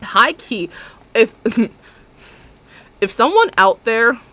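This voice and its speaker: A young woman with a deep alto voice, chatting casually and rambling as she streams a building game, laughing often and talking to her viewers. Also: very animated, extremely slow, pushed and bright, dry recording.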